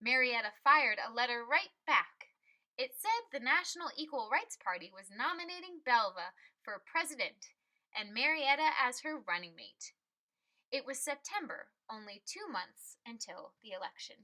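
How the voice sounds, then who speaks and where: A woman reads a picture book aloud in a fairly high, bright voice. Her delivery is expressive and forceful. She phrases dramatically and puts clear emphasis on key words. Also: normal speed, low-quality recording. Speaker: read-aloud storyteller.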